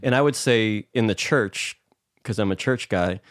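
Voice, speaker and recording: A man's voice: lowish voice, a little slow, no room sound, clean studio sound. An American man co-hosting a podcast discussion, explaining his views conversationally as he thinks aloud. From United States. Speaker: podcast co-host.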